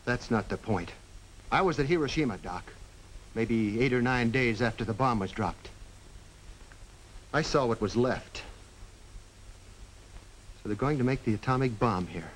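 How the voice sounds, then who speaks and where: An actor playing an embittered war witness in a 1950s film drama, blunt and challenging, on an old soundtrack. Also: male voice, medium pitch, lively intonation, a little slow, pauses often, a little hiss or hum, lightly acted, rough recording. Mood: bitter, insistent.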